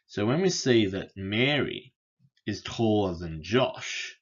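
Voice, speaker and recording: A man lecturing on philosophy over slides, unhurried, with frequent fillers and restarts. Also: fairly low pitch, expressive, dull audio. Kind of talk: explaining logic over slides.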